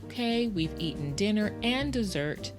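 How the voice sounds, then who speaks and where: A woman's voice: mid-range voice, lively intonation, normal speed, over music. A woman hosting her own podcast in casual solo chat, tired after a day's work, chatty and self-deprecating, laughing often as she talks close to a podcast mic. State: tired in the evening. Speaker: podcast host.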